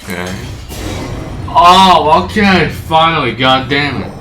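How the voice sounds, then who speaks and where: A man's voice: fairly high pitch, very animated, slow, pushed and bright. A man casually reacting while playing a video game. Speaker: gamer. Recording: roomy echo, faint game music. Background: game music.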